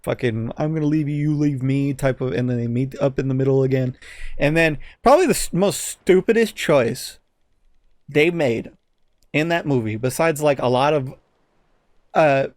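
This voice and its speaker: A man's voice: medium pitch, moderate pace, a little mellow, no room sound. A man co-hosting a podcast, giving a casual, exasperated and profanity-laced movie review. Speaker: podcast co-host.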